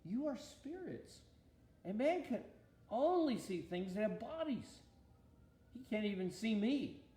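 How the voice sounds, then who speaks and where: A man's voice: sits high, very animated, unhurried, warm tone, reverberant, pitched above the performer's own voice. A man voicing a thoughtful, patient God who explains his plans to his angels in a storybook reading, with a reassuring manner. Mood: patient, explaining.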